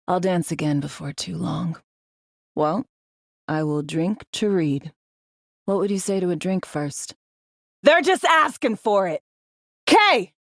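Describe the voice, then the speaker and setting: A video game secret agent, female, tough and jaded. The voice sits on the low side and is recorded dry and close. The delivery is blunt, slangy and sardonic, with a world-weary edge. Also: dry recording, heavily acted. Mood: combat shouting. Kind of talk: video game character dialogue.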